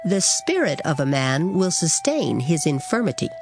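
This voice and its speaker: A woman giving an earnest, measured, dramatized reading of scripture. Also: low-pitched, very animated. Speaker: scripture reader. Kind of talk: dramatized Bible audiobook reading. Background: plucked guitar music.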